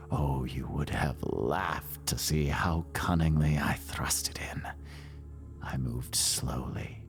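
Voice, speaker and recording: A man playing a nervous, boastful madman confessing a murder in a theatrical Gothic horror monologue, melodramatic and swinging between hushed and shrill delivery. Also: creaky, heavily acted. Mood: hushed, sly.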